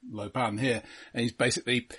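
A man's voice: medium pitch, level tone, a little fast, fairly bright tone, breathy edge. A middle-aged man in a casual, analytical conversation about films as a podcast co-host. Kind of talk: casual analytical film discussion.

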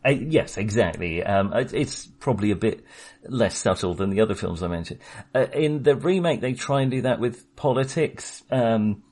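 Chatty man with a low voice. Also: moderate pace. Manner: chatty, wry, animated when making a point. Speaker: podcast co-host. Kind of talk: casual film discussion.

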